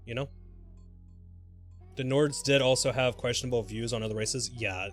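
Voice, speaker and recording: A man livestreaming a video game, chatting casually and thinking aloud in a halting, mildly sweary way. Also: medium pitch. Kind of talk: casual gameplay commentary and rambling chat. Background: music.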